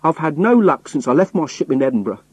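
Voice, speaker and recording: A man voicing a hard-up sailor in a story, rough, pleading and defiant as he protests. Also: mid-range voice, sing-song, moderate pace, a little mellow, no echo. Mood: desperate begging.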